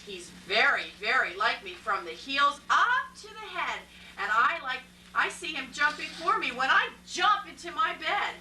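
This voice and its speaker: Woman doing a little girl puppet, plaintive and bright, kinda far off w/ a bit of noise. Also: sits high, sing-song, normal speed, heavily acted. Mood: earnest reciting. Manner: childlike, plaintive. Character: sad, eager little schoolgirl puppet, third grader. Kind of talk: amateur puppet show acting. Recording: echoey, distant live recording with background noise.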